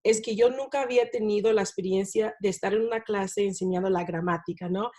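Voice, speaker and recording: A woman, a non-native Spanish speaker, talking as a guest about teaching in a relaxed interview, with many fillers and tag questions. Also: medium pitch, a little fast. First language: not Spanish. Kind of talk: casual interview talk.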